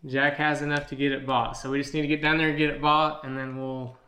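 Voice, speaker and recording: A man's voice: medium pitch, flat delivery, fast talker, sharp tone. Man, American accent, middle-aged, folksy casual chat, roomy echoing sound. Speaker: co-host, father helping present a family channel. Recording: echoey, roomy home recording.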